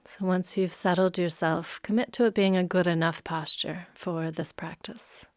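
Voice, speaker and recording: A woman leading a guided meditation as a yoga nidra teacher, soothing and unhurried, leaving long pauses. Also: on the low side, fairly even intonation, dry recording, studio quality.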